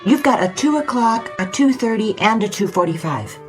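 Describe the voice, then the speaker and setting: An American actress playing a mild, practical secretary in an audio drama, matter-of-fact and questioning. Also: female voice, fairly high pitch, animated, moderate pace, music in the background, natural voice. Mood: businesslike, puzzled.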